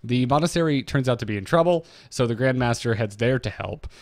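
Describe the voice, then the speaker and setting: Guy co-hosting a game lore podcast, normal pitch, very dry sound, recaps stuff with little jokes. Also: lively intonation, a little fast, no echo. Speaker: podcast co-host. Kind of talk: notes-based game lore recap with casual banter.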